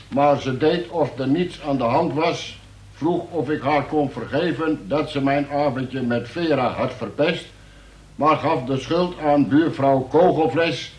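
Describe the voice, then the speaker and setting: An actor playing a police sergeant formally reading out a suspect's statement, with small stumbles, in a Dutch radio play. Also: male voice, a little high, lightly acted. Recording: echoey room.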